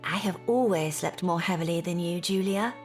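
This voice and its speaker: An actress playing a troubled young gentlewoman in an audio drama, telling her story in a measured, formal, earnest way. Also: on the low side, faint music behind, lightly acted. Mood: gentle, reassuring.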